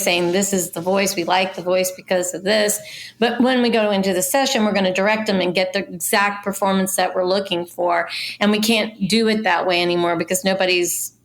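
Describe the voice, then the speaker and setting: A woman speaking conversationally as a casting director in an interview, explaining things and offering practical advice. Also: mid-range voice.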